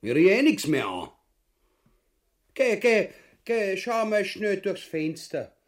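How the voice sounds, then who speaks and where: Greedy buffoon of a husband, Viennese accent, higher-pitched, slow drawn-out delivery, crude, radio farce. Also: male voice, big pitch swings, exaggerated voice. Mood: gruff, defiant. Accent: Viennese Austrian. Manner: drawn-out, exaggerated, coarse delivery with big swings. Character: gluttonous, crude, clownish husband who would be king. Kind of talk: farcical radio play acting in dialect.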